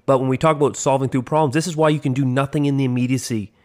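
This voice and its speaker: A man in his forties co-hosting a casual podcast chat, with a big booming theatrical delivery. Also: fairly even intonation, racing, a little mellow. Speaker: podcast co-host, teacher.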